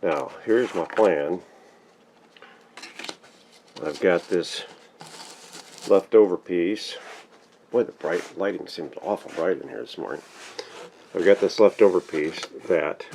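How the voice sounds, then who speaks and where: A man's voice: sits low, vocal fry, mellow, a little hiss or hum. A man giving a casual, plainspoken hands-on workshop demonstration, narrating each step as he works. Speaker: vintage radio restorer and host. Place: home workshop.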